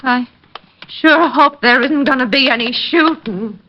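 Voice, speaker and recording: An actress playing a nervous, fretful hotel desk clerk in a 1950s radio drama. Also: female voice, high-pitched, measured pace. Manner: fretful and worried. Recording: dull old radio recording, light hiss.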